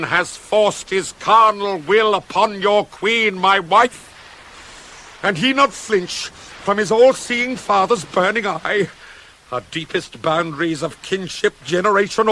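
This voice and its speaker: Grieving greek king, kinda high voice for a man, slow heavy dramatic delivery, old radio play. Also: pushed and bright, slight background noise, full character voice. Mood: raging despair. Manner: declamatory, slow, heavily dramatic. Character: grief-stricken ancient Greek king, proud, widowed, raging. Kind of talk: 1980s radio play, classical tragic verse acting.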